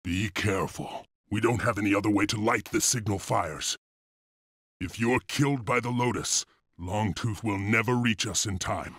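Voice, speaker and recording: A gruff wolf clan rebel chief from a video game. His voice is low and husky, recorded close and dry, and he speaks with resolute command. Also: normal speed, fairly bright tone, no echo. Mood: grave, urgent. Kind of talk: dramatic video game voice acting.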